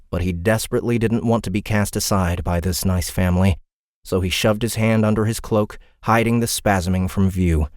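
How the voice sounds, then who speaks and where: A man's voice: fairly low pitch, no echo, studio quality. A man narrating a fantasy audiobook in a dramatic, scripted storytelling style.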